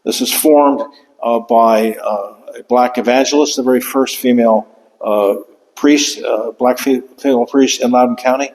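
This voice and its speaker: An older man speaks at a mid pitch, giving an author talk to a room. He talks in a halting way, full of fillers, restarts and asides. The sound rings with a long hall reverb. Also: steady tone, darkish tone. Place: lecture room.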